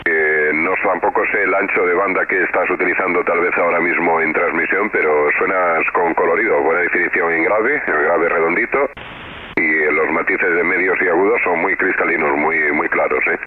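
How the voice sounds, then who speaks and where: A man's voice: sits low, moderate pace. A man with a Spain Spanish accent, casually giving audio reports as an amateur radio operator. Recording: narrow tinny band, clear background noise.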